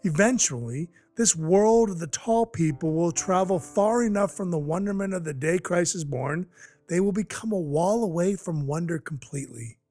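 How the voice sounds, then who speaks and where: A hard-bitten, cynical dwarf enforcer acted by a man tells his tale in an audio play. His voice sits slightly high, with dry, deadpan and oddly formal delivery. Soft music plays behind him. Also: moderate pace. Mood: wry, brooding. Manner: wry, deadpan, formal phrasing. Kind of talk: noir-like first-person audio drama narration and dialogue.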